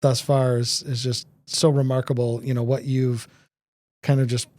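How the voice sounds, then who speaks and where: A podcast host interviewing a guest, chatty and loose with frequent restarts. The voice is mellow and dark, recorded dry and close with no room sound. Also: mid-range voice, level tone, normal speed, no echo. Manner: chatty, warm-hearted, laughs, trailing restarts. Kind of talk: interview questions.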